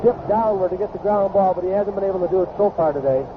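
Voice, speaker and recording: Through a noisy, phone-like old radio signal, an American man breaks down baseball plays. He talks quickly in a high-pitched voice, in the manner of a color commentator. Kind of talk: live analytical sports commentary.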